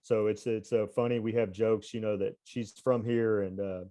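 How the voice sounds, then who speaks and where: A man's voice: lowish voice, steady tone, normal speed, dark tone. A man in his thirties with a mild Texas accent, chatting as a guest and rambling with fillers and restarts. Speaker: guest, baseball coach. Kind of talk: casual conversation.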